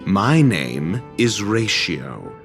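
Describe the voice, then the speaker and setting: An actor playing a haughty, erudite scholar in a video game, speaking in ornate formal phrases with dry, condescending sarcasm. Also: male voice, low-pitched, sing-song, drawn-out words, vocal fry, exaggerated voice. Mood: guarded, formal. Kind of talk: video game cutscene voice acting. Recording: background music under studio game dialogue.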